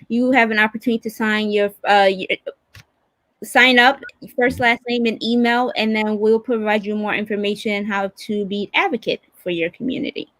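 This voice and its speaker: A woman hosting a live online stream, talking informally and haltingly as she searches for words. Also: a little high, fairly bright tone, no echo. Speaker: livestream host. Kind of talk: unscripted live hosting, casual chat. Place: remote video call.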